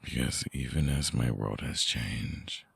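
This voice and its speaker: A man playing a remorseful, lovesick vampire ex-boyfriend in an intimate ASMR roleplay, husky and breathy, hesitant and pleading, close on the mic. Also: very deep voice, unhurried, no echo, exaggerated voice. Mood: tender, pleading. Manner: hesitant, soft-spoken, pleading. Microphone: close binaural microphone, dry.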